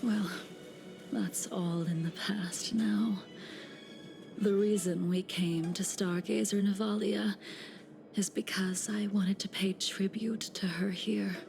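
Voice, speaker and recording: A grave game warrior woman, unhurried and formal, with a soft breathy voice and a faintly gravelly edge. She sounds like someone carrying old regret, over background music. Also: medium pitch, fairly even intonation. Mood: solemn, wistful. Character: solemn, regretful woman warrior of long memory. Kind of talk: video game voice acting.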